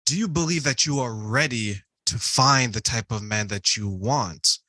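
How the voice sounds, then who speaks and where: Bright-voiced male interview host. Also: medium pitch, measured pace, dry recording. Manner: conversational, tells jokes and anecdotes. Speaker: podcast host. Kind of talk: interview questions and anecdote.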